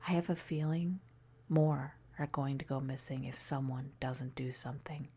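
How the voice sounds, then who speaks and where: A woman narrating a scary story in a soft, breathy ASMR style, often near a whisper, hushed and deliberate. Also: a bass voice, flat delivery.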